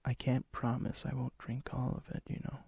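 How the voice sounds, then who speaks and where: A man performs a melancholy vampire in an intimate ASMR roleplay. His voice is a breathy, gravelly whisper, kept very even and subdued. It sits close and dry, halting as if weighed down by loneliness and remorse. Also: mid-range voice, dry recording, exaggerated voice. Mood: reluctant, hesitant. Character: lonely, brooding, remorseful vampire, softening toward a stranger.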